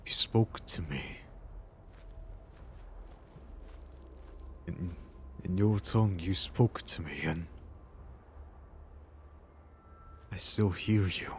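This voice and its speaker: A man playing a bewildered mercenary chosen as a hero in a fantasy roleplay, speaking haltingly and repeating himself. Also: lowish voice, slight background noise. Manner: halting, breaks off and repeats phrases. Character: bewildered male mercenary, newly chosen dragon-slaying hero. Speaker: player voicing his own game character. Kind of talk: immersive fantasy roleplay acting.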